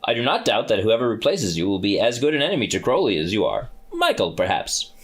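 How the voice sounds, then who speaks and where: A man's voice: fairly low pitch, very animated, quick pace. Man doing a smug pompous archangel. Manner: self-assured, patronizing. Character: breezy, pompous male archangel official.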